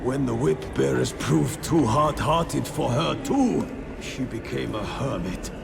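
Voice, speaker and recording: An actor playing the remorseful ghost of a peasant-born squire, mournful and halting, in a video game. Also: male voice, medium pitch, lively intonation, gravelly, background noise, full character voice. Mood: sorrowful. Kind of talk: dramatic video game acting.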